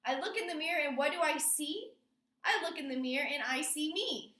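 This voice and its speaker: High, bright-voiced woman teaching a class. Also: animated, brisk. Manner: instructing, asks prompting questions. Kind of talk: classroom lesson with poem read aloud. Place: indoor classroom. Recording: roomy, echoing classroom sound.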